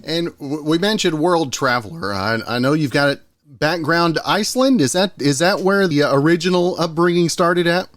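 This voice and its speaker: A man's voice: on the high side, lively intonation, moderate pace, a bit bright, no echo. A man hosting a radio talk show, asking questions in a friendly, folksy way and often restarting his sentences. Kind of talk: casual radio interview.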